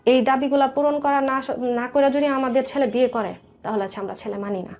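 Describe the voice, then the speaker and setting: Bangladeshi woman kinda high voice, telling her life story in an interview, earnest, lots of detail. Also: steady tone, a little fast, a little mellow. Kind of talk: personal storytelling in a radio interview.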